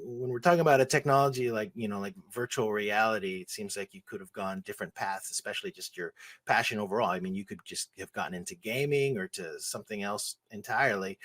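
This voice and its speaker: A man's voice: mid-range voice, fairly bright tone. A man, hosting an online talk show in a loose, chatty way with many ums and restarts. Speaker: host.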